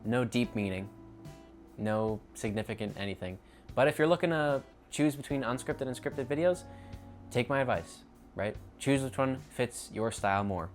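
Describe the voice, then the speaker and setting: A young man chatting to the camera with no script, wandering through his thoughts and stopping to fix his own words. His voice sits at an ordinary middle pitch, with some faint music underneath. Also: moderate pace. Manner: rambling, self-correcting, off the cuff. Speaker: YouTube creator. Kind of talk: unscripted casual vlog talk.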